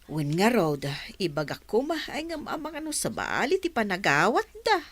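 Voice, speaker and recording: A woman's voice: mid-range voice, wildly swooping pitch, normal speed, fairly bright tone. A woman acts out a kindly village wife in a radio comedy. She has an Ilocano accent and a mid-range voice that moves about with lots of expression. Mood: fond, approving. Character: kindly village aunt, wife of the garden owner.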